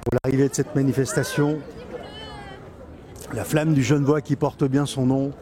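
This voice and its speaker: A man giving halting live event commentary as an on-the-spot reporter, often pausing and repeating himself. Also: mid-range voice, little pitch movement. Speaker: event reporter and club president doing live coverage. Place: outdoors in a town street among a crowd. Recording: outdoor live recording amid crowd noise. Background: crowd and street sounds.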